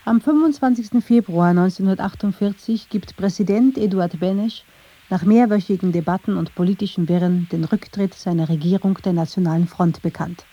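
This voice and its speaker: A woman narrating a scripted documentary in a measured, factual manner. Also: medium pitch, mellow, breathy edge, highs cut off.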